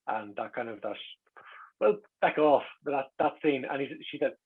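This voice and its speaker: Husky Irish man on muffled audio. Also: mid-range voice, normal speed. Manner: hesitant starts, repeats words. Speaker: interview guest. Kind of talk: anecdotal casual chat. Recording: muffled, lo-fi voice track.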